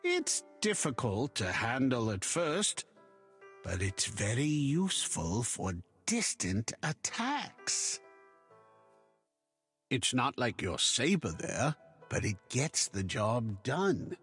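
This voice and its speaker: An actor playing an unhurried, wry male shopkeeper selling weapons in a video game, with a measured salesman's delivery. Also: medium pitch, sing-song, a bit bright, soft background music. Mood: confiding salesman. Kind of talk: video game character voice lines.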